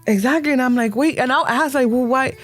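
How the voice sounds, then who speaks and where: A woman's voice: high-pitched, a little fast, soft background music. A woman guesting on a casual podcast chat, talking freely and laughing often as she switches between Spanish and English.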